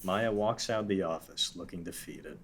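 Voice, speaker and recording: A man's voice: low voice, moderate pace, fairly bright tone, a little hiss or hum. A man reading the stage directions aloud in a casual table read.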